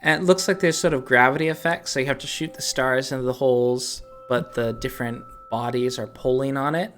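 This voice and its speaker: This is a co-host on a casual gaming podcast, speaking in a middle-pitched voice. The delivery is thoughtful and a little halting, feeling out each explanation. Faint music plays behind the talk. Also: steady tone, fairly bright tone. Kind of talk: casual video game discussion.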